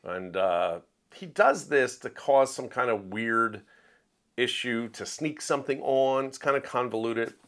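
A man's voice: medium pitch, expressive, unhurried, fairly bright tone. A man hosting a review show, talking in a casual, opinionated monologue and getting emphatic when he makes a point. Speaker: host of a book review show.

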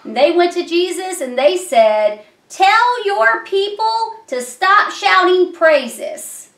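A woman's voice: very high voice, animated, slow pace, fairly bright tone. A middle-aged woman hosting a children's puppet show, telling Bible stories in a simple, explanatory way. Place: small decorated room indoors. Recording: echoey room.

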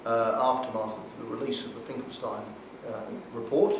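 A man's voice: medium pitch, fairly even intonation, vocal fry, recorded from a distance. Brief: hesitant man speaking from across a big echoey hall, audible hum of the room. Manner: halting, many fillers and restarts. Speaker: conference speaker. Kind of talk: conference panel discussion. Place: conference hall.

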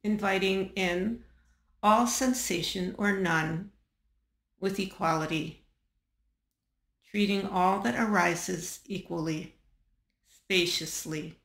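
A woman guiding a body scan meditation in a calm, measured manner, leaving long pauses between short phrases. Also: medium pitch, vocal fry, reverberant. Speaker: meditation guide.

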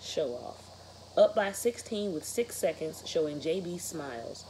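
Low-voiced, mellow woman reading aloud. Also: expressive, a little slow, a little hiss or hum, poor audio. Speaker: teacher reading aloud to students. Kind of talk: read-aloud of a children's novel with questions to students. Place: bedroom, indoors, sitting in bed.

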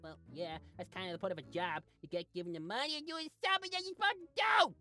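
A woman voicing a perky, teasing little cartoon sidekick in a comedy game series, chirpy, excitable and coaxing. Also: mid-range voice, fast, soft background music, close to an everyday voice. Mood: explaining, exasperated. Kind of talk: voice-acted comedy over gameplay.

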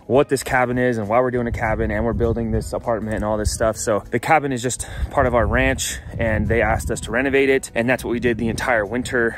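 Casual American man vlogging outdoors. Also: mid-range voice. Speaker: vlog host. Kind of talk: casual vlog chat to camera. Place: outdoors on an open rural building site.

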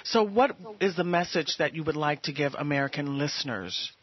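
A woman's voice: low voice, expressive, normal speed, husky, lo-fi sound. A woman hosting a radio show, leading the interview and recapping the guest's points. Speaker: radio host.

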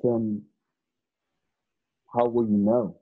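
Radio drama role: puzzled young man, acted by a man, husky and slow, lively pitch, muffled call audio. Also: exaggerated voice. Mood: puzzled, doubtful. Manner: halting, plain-spoken, doubtful. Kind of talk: remote radio play reading. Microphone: video call. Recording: dull lo-fi video call audio.

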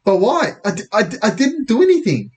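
A man's voice: sits high, expressive, moderate pace, pitched above the performer's own voice. A man voicing a nervous, evasive boy in a story, hesitant and stammering. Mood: flustered.

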